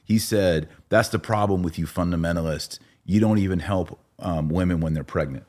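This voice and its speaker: A man's voice: low-pitched, normal speed, a little mellow. A man hosting a podcast, telling stories in a casual, informal way and acting out both sides of remembered conversations. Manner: informal, recounts dialogue in quotes. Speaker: podcast host.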